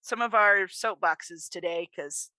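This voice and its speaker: A woman's voice: medium pitch, unhurried, pushed and bright, no room sound. An American woman co-hosting a podcast in casual chat, with folksy turns of phrase. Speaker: podcast co-host.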